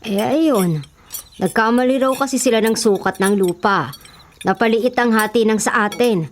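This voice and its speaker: An actress playing a kindly, forgiving middle-aged mother with a Filipino accent in a radio drama, gentle and placating. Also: a little high, moderate pace, a little hiss or hum, close to an everyday voice. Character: kindly, forgiving, self-sacrificing mother of grown sons. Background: faint music, clinking dishes.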